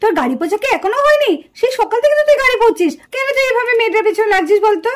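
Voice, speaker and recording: A woman's voice: extremely high pitch, expressive, rapid-fire. A woman voicing an affectionate, chatty elder sister doll in a puppet drama. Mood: mild reproach.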